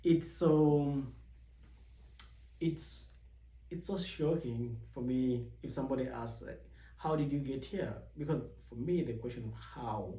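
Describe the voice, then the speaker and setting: A young man from Uganda telling personal stories as a vlog host, rambling with many restarts and jumping higher when he mimics people's questions. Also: measured pace, dark tone, far from the mic. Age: young adult. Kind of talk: casual personal storytelling. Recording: echoey room, voice set back from the mic.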